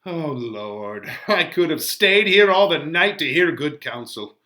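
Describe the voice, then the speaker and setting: Man reading as an admiring, deferential old nurse, bright-voiced. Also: on the high side, animated, a little slow. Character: Juliet's nurse, an admiring, fussing older woman servant. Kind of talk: dramatic reading of a Shakespeare play.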